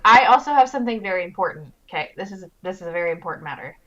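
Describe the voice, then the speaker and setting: Brief: young woman guest, expressive and chatty, slightly muffled lo-fi mic. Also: lowish voice, rapid-fire. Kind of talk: casual chat. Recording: dull lo-fi mic.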